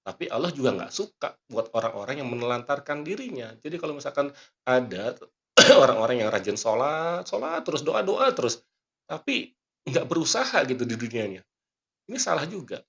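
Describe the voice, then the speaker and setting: A man hosting a motivational podcast, teaching earnestly and quoting scripture and sayings, often clearing his throat while he talks because he is not fully well. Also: medium pitch, sing-song, fairly quick, a bit bright. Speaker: magician and motivational speaker. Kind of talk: motivational podcast talk with a magic trick demo.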